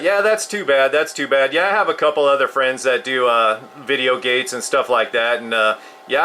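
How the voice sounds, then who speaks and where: A bright, forceful man in his fifties talks casually as a CB hobbyist, with a medium-pitched voice over faint background noise. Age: 50s. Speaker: CB radio operator. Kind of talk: casual CB radio conversation.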